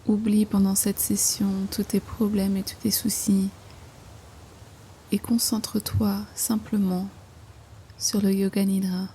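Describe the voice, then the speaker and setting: A woman guiding a yoga nidra relaxation in slow instructions, with hushed, breathy phrase endings and an unhurried manner. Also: mid-range voice, little pitch movement, a little hiss or hum. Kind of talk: guided meditation, slow soothing instruction.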